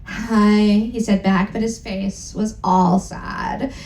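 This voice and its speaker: A woman's voice: mid-range voice, steady tone, takes it slowly, a little mellow. A woman giving a comic live dramatic reading in a theatrical, mock-serious way, breaking off for asides. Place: live event room with audience. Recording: roomy live stage sound.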